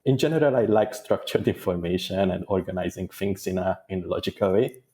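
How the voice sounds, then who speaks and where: A man answering interview questions as a guest, in a thoughtful, measured way with small restarts. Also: low voice, warm tone. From Hungary. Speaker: guest data engineer.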